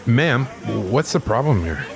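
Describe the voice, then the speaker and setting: A man's voice: mid-range voice, sing-song, unhurried, music in the background. A man playing a blunt, sweary New York police officer with a commanding manner in an audio drama. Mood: alarmed, urgent. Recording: noisy street and crowd sound effects.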